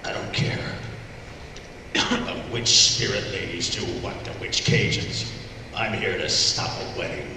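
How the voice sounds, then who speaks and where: A man acting from a script at a live table read with gruff, dry delivery, recorded from far off in an echoey hall. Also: sits low, fairly even intonation, slow. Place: large convention room with live audience. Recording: echoey hall, distant mic, faint noise.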